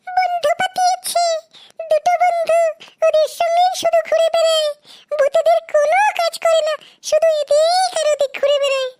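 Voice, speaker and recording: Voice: very high-pitched, flat delivery, moderate pace, a little mellow. A performer voicing a complaining, insistent ghost in a Bengali comedy cartoon. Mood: indignant. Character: complaining, indignant tattletale ghost.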